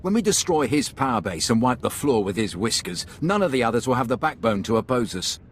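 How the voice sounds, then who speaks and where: An actor playing a tough, confident Imperial commander in a video game, speaking bluntly and emphatically. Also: male voice, mid-range voice, sing-song, a little fast, a little hiss or hum, close to an everyday voice. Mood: confident, persuasive. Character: tough, confident male Imperial special-forces commander, gruffly determined. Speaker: military commander character.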